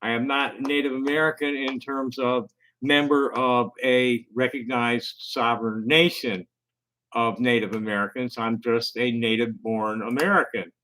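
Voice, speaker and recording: A middle-aged man vlogging casually, halting with many fillers and restarts. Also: medium pitch, steady tone, bright, forceful tone, poor audio. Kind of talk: casual talk to camera.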